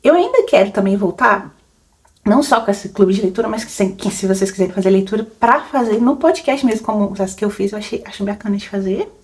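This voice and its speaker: A woman's voice: medium pitch, animated, a little fast, darkish tone. A young adult woman, a book podcaster rambling casually through questions, laughing often and full of fillers. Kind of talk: casual Q&A chat.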